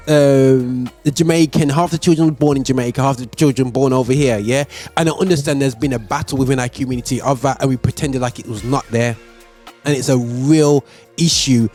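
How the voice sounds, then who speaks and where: Animated chatty male radio host. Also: mid-range voice. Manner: animated, chatty, many fillers like "man" and "sis". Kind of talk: casual radio interview.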